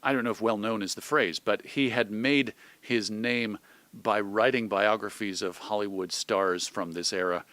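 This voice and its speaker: A middle-aged American man talking as an interview guest in an animated, thoughtful, digressive conversational way. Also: on the low side, normal speed, no echo. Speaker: interview guest, magazine publisher and radio host.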